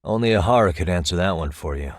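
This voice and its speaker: A male actor voicing a composed, determined private detective in a video game, with a measured, probing delivery. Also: deep voice, animated, no room sound, clean studio sound. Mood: matter-of-fact. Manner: measured, probing, matter-of-fact. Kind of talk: English video game dialogue dub. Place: dry recording studio.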